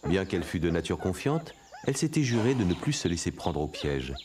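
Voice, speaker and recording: A man playing a grave storybook narrator in a cartoon, telling the tale in a measured, literary style. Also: deep voice, big pitch swings, noisy background. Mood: foreboding. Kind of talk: scripted narration.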